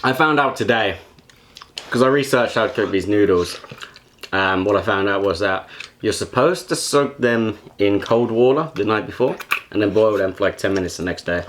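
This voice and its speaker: A man's voice: lowish voice, normal speed, fairly bright tone, slight background noise. A young man, chatting casually about his home cooking in a laid-back, slangy, joking way. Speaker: home cook.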